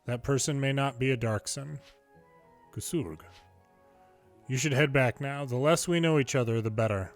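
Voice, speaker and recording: A man reading story dialogue aloud from a video game in a playful way, switching pitch between characters and adding his own commentary. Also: normal speed, pauses often. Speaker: longplay host reading a game's dialogue aloud. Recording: music behind the voice. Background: game music.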